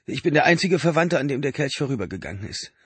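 A man's voice: on the high side, lively intonation, fast, a bit bright, slightly rough, dry recording, voice pushed up. A man voicing an exhausted adult man who pleads urgently and breathlessly with his brother. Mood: urgent pleading.

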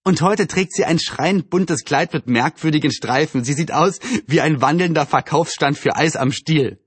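A man's voice: a little high, moderate pace, breathy edge, no echo, pitched above the performer's own voice. A man voicing a cheerful, chatty young girl with a teasing, eager manner in a storybook reading.

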